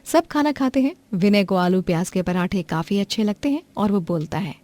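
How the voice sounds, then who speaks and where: A woman's voice: mid-range voice, animated, moderate pace, mellow. A woman giving plain, scripted narration for a cartoon moral story.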